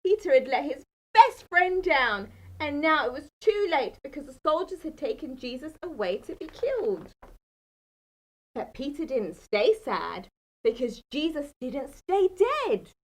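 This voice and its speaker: A woman's voice: high voice, big pitch swings, a little slow, rough recording. A woman telling a children's bedtime Bible story in a dramatic, emphatic manner. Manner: dramatic, emphatic, asks the listeners questions.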